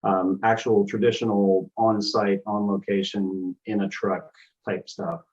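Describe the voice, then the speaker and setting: A tired man talking as a guest on a video call, halting with many fillers and restarts. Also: lowish voice, flat delivery, darkish tone, slightly rough. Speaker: guest producing live events. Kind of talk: casual video call chat. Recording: dull lo-fi call audio.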